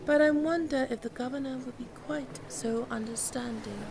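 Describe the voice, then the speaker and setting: Woman acting a gravelly, swaggering pirate captain woman. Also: high voice, darkish tone. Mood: commanding, taunting. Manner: theatrical, teasing, drawn-out menacing delivery with archaic turns of phrase. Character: swaggering female pirate captain, commanding, mocking, seductive. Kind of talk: ASMR roleplay acting.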